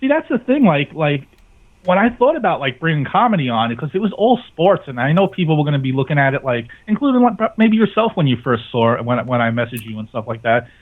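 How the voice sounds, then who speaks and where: A man's voice: a little high, big pitch swings, fast talker. Man on a thin phone line. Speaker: radio network founder and guest. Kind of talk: casual interview chat.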